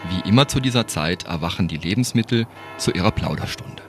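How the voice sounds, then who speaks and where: A man's voice: deep voice, lively intonation, fairly quick, music in the background. A man narrating a radio play in a scripted storybook style.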